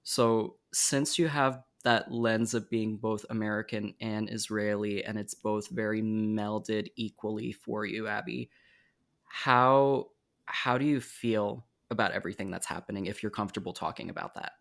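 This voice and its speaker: A man's voice: lowish voice, steady tone, moderate pace, gravelly, a bit bright. A young man interviewing a guest on a podcast, asking earnest, thoughtful questions in a casual tone. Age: young adult.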